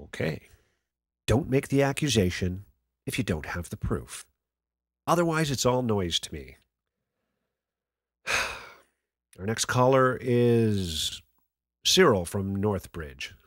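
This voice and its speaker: A man's voice: on the low side, animated, slow, pauses often, dry recording. A man playing a skeptical, sardonic radio call-in host in a radio play, with a dry, challenging manner. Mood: dry, dismissive. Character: skeptical male late-night conspiracy radio call-in host.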